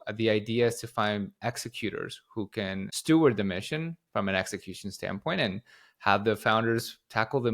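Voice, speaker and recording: A young man from Guatemala, the chief executive of a company, giving long, rambling interview answers with lots of fillers and restarts. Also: on the low side. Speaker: company CEO, podcast guest.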